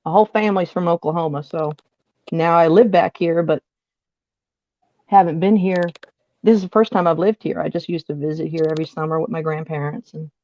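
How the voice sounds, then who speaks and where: A woman's voice: sits low, a little fast, a little mellow, no room sound, lo-fi sound. A woman from Delaware joining as a special guest over a video call, easygoing and joking, laughing often. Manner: easygoing, jokey, laughs a lot. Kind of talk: casual chat over a call.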